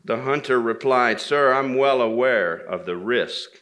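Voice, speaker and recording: A man telling a folktale aloud to listeners, deliberate and repeating phrases for dramatic effect. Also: mid-range voice, fairly bright tone. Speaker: storyteller at a men's gathering. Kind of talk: live oral storytelling of a folktale, dramatic pauses and repetition.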